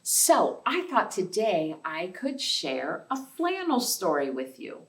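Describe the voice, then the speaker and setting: Lady doing kids storytime, super slow and singsongy, asks the kids stuff, kinda far from the mic in an echoey room. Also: medium pitch, huge pitch swings, fairly bright tone. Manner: sing-song, addressing young children with questions. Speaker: library storytime host. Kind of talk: children's read-aloud storytime with counting rhymes. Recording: echoey room, distant microphone.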